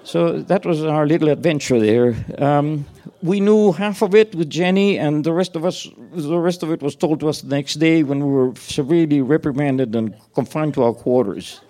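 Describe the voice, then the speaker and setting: A man's voice: on the high side, normal speed, few pauses, dark tone. An older man from Chile whose first language is Spanish, telling a rambling personal story on stage, chuckling and restarting his sentences.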